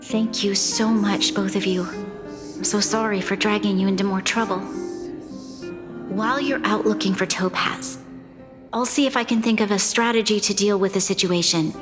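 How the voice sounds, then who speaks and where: A woman playing an earnest, burdened young leader of a city in a video game, speaking in a formal, measured way. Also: mid-range voice, steady tone, lightly acted. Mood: grateful, apologetic. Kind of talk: video game cutscene voice acting. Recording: long hall reverb, background music.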